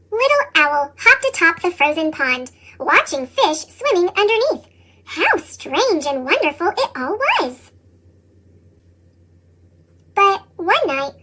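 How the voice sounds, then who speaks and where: A woman reading children's picture books aloud in narration, her voice changed with a pitched-up chipmunk effect. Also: sharp tone. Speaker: picture book reader.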